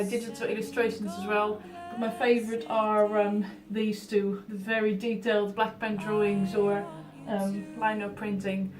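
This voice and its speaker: A Dutch-accented woman in her early thirties speaks in a fairly high voice, set back from the microphone in a roomy space. She talks informally about herself, pausing often to find the next thought. Music plays clearly behind her. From Netherlands. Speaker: artist being profiled. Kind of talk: informal talking about own life and art. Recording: roomy echo, distant mic, music behind.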